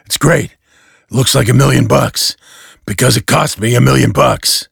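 A man voicing a vain, touchy male superhero in a gravelly, husky voice, as part of a comic audiobook reading. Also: medium pitch, normal speed, fairly bright tone, no room sound, clean studio sound, pitched above the performer's own voice, full character voice. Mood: indignant. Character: male superhero, gruff, vain, touchy about his gadgets.

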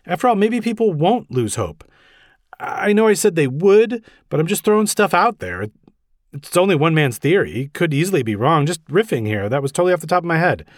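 A man's voice: a little high, big pitch swings, brisk, no echo, studio quality, pitched above the performer's own voice. A man voicing a flustered, earnest police commissioner, stammering and rambling anxiously in a comic story reading. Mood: flustered, backpedaling. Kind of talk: comic audiobook-style reading.

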